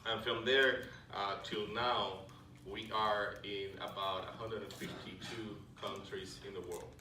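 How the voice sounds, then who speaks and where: A middle-aged man with a Puerto Rican accent giving a friendly, halting talk to a club, with many fillers and restarts. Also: lowish voice, fairly even intonation, far from the mic. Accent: Puerto Rican Spanish. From Puerto Rico. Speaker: Salvation Army officer speaking to a club. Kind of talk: informal presentation to a luncheon audience. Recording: echoey hall recorded from afar, background noise.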